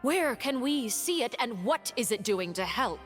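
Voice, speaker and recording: A woman playing a cold, composed diplomat in a game, speaking in a measured, formal, sardonic and pointed way. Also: fairly high pitch, lively intonation. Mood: accusing. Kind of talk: dramatic game voice acting. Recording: music under the voice.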